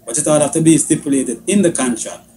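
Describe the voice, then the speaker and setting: Mellow Caribbean man over a noisy line. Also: fairly high pitch, quick pace. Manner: insistent, questioning. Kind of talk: argumentative radio discussion.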